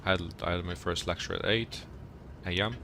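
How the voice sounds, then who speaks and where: A young man from Poland with a Polish accent, a native Polish speaker chatting and commentating casually as a game live streamer in a rambling, halting way. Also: sits low, steady tone, gravelly. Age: young adult. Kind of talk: casual gaming commentary and chat. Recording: noisy game battle sounds behind voice. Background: artillery fire and vehicle game sounds.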